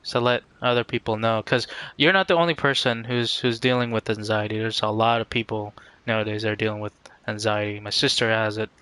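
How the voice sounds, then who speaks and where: A man chatting casually on a game livestream in an earnest, halting, reflective way. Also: on the low side, fairly even intonation, sharp tone, clear, resonant tone, no echo. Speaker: game streamer.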